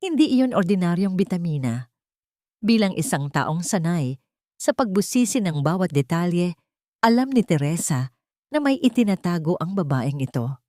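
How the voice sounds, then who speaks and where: A woman storyteller reading a scripted dramatic story with solemn, deliberate phrasing. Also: on the low side, big pitch swings, a little mellow, dry recording, clean studio sound.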